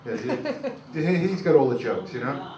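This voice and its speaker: An older man with a New York accent does halting, self-deprecating, rambling stand-up comedy. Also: a little high, lively intonation, distant mic, crowd noise. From Brooklyn, New York, USA. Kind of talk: live stand-up comedy, crude jokes. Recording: dull, noisy, echoey club recording from afar.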